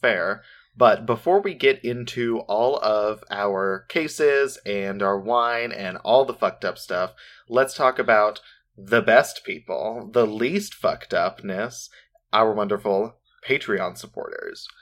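Podcast co-host, male, General American accent, low voice, excitable and loose, swears casually. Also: slow.

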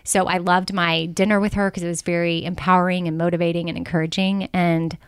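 A woman in her forties hosting a podcast, chatting casually, laughing often and slowing down when she reads something aloud. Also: fairly low pitch, flat delivery, clean tone, dry recording. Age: 40s. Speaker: podcast host.

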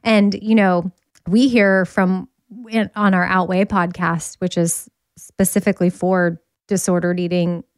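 A woman's voice: fairly low pitch, level tone, measured pace, smooth, no room sound. Candid woman in her forties, dry sound. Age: 40s. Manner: candid, reflective, talks about her own recovery. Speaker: podcast host. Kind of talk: casual podcast conversation.

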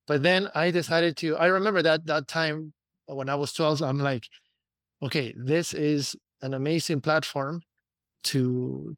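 A man from Peru, a company founder telling his story as an interview guest, animated and full of restarts and "right?". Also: fairly high pitch, no echo.

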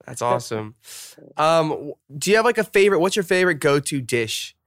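A young male podcast host asks questions in a casual, upbeat way, peppering his speech with fillers. His voice is smooth and resonant at a middle pitch. The sound is close and dry. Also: very animated, fairly quick, a bit bright, no room sound. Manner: upbeat, filler-heavy, self-deprecating. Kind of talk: casual interview chat.

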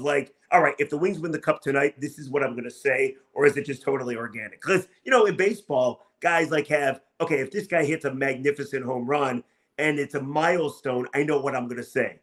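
A man's voice: on the high side, fairly bright tone. An American man hosting a sports talk radio interview, asking questions in an emphatic, effusive, stop-start way.

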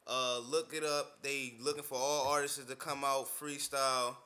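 A young man co-hosting a casual chat, slangy and full of hype shout-outs. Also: medium pitch, flat delivery, normal speed. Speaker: podcast co-host.

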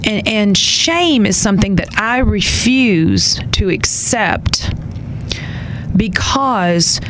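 A woman's voice: medium pitch, expressive, slow, background noise. A woman guest in a casual interview, talking bluntly and animatedly, with some swearing, acting out what others said.

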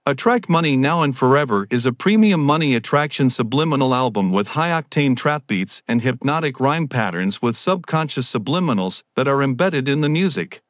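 A man's voice: medium pitch, lively intonation, moderate pace, hardly any pauses, no room sound. A man delivering a scripted promotional narration in a grandiose, formal salesman's manner. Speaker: promoter of his own audio programs.

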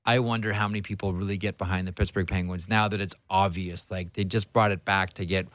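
A man with a fairly low voice hosts a hockey talk show in a casual, conversational way. He puts things bluntly at times. The recording is dry, with very little room sound. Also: fairly even intonation, brisk, a bit bright, dry recording. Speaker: sports talk show host.

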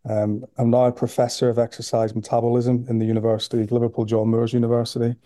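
A man with a Northern Irish accent speaks in a very dark, mellow tone, keeping his pitch flat and even. His delivery is relaxed, with frequent pauses and repeated words. The recording is dry and close. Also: no room sound. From Belfast, Northern Ireland. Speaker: guest, sports nutrition professor. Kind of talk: podcast interview.

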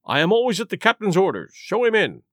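A pompous country squire, as a man puts him on, bluff and indignant, his voice raised high and swinging widely. Dry, close sound with no room to it. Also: huge pitch swings, fast, a bit bright, no echo, full character voice. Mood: hearty. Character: blustering gentleman squire, proud and quick to anger.

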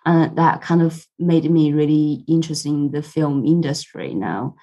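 A woman in her twenties from China, Mandarin native, chatting as an interview guest, halting and repeating herself. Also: low-pitched, mellow. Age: 20s. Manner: halting, repeats words, laughs often. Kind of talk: casual interview chat. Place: home room with a cat.

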